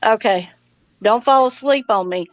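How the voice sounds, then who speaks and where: A woman's voice: fairly high pitch, a little slow, lo-fi sound, slight background noise. A middle-aged woman hosting a casual livestream, chatting and answering viewer comments. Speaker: livestream host and homesteading vlogger.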